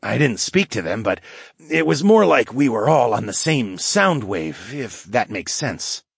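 Haughty male lynx demi-human for fantasy audiobook, played by a man: formal, measured, drawn-out delivery, close dry sound. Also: medium pitch, very animated, gravelly, breathy edge, no room sound, pitched above the performer's own voice, exaggerated voice. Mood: puzzled, recalling.

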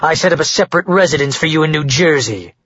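A man's voice: on the high side, lively intonation, fast, fairly bright tone, slightly rough, no echo, lo-fi sound, pitched above the performer's own voice. A man voicing a stern, powerful father who speaks coldly and growls, in an audiobook reading. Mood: cold anger. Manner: cold and emotionless, growling.

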